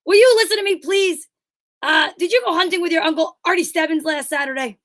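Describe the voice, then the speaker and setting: A woman's voice: very high voice, fast talker, a bit bright. A woman playing an exasperated, bossy straight man in an old-time radio comedy sketch, scolding and questioning with disbelief. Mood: impatient.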